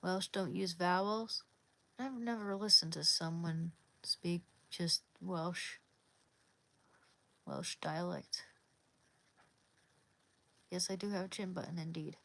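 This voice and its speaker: A woman's voice: fairly low pitch, steady tone, slow, long pauses, a bit bright. A woman from Oregon hosting a casual livestream, chatting easily with viewers and answering their comments by name. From Oregon, USA. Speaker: livestream host. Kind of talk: casual live chat reading comments.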